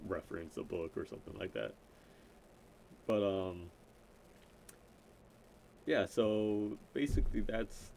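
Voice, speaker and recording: Young man with a deep, rambling voice. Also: a little mellow, slight background noise, low-quality recording. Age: young adult. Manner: rambling, hesitant, self-interrupting. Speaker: gaming vlogger introducing himself. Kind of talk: unscripted casual chat.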